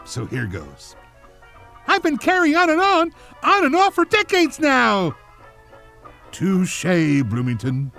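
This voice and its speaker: A man's voice: very high voice, huge pitch swings, slow, gravelly, pushed and bright, soft background music. A man reading a playful scripted radio station identification spot.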